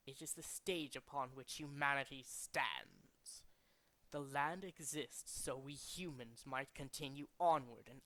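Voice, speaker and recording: Guy doing a pompous game villain boss, condescending and formal, real slow, sharp bright voice. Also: mid-range voice. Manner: formal, pompous, theatrical, deliberate. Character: pompous, condescending male villain leader, cultured and fanatical. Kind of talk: theatrical video game villain monologue.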